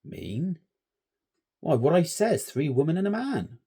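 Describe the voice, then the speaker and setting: A man voicing a grave, superstitious old shepherd who talks slowly in rustic dialect. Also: fairly high pitch, very animated, dark tone, husky, voice pushed up, exaggerated voice.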